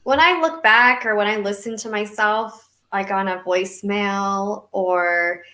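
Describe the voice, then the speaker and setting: A woman's voice: medium pitch, steady tone, unhurried, sharp tone, reverberant. A woman co-hosting an online talk show, chatty and giggly, a little nervous, with a slightly nasal voice. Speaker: co-host. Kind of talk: casual live-stream chat.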